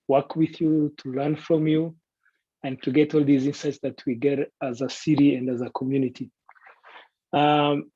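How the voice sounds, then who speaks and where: A man giving a semi-prepared guest presentation in a deliberate, polite way. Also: fairly high pitch, warm tone, slightly rough, dry recording. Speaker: guest presenter pitching a city. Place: online video call. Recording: dull, lo-fi online call audio.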